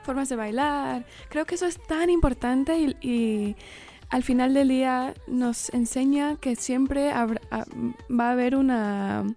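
A woman's voice: high voice, a little slow, mellow. A young woman from California speaking Spanglish-tinged Spanish with an American English influence, giving earnest, reflective answers as a singer-songwriter in an interview. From California, United States.

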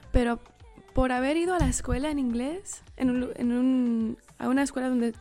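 A young woman with a fairly high voice speaks Spanish with an American English, Spanglish tinge. She answers interview questions in an earnest, reflective, conversational way. Music plays behind her. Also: steady tone, moderate pace, mellow. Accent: Spanglish-tinged Spanish with an American English influence. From California, United States. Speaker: singer-songwriter being interviewed.

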